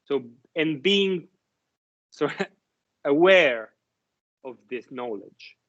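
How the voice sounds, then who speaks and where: A slow, careful man lectures with a voice a little higher than most, stopping often to restart his sentences and asking whether the class follows. The recording sounds dull and lo-fi. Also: lively intonation. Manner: halting, many restarts and fillers, checks understanding with "right?". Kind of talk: university lecture.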